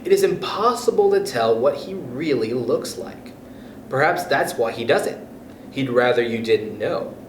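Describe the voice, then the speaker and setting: A man hosting storytime, reading a children's book expressively and speaking directly to young listeners. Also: a little high. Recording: echoey room with background noise.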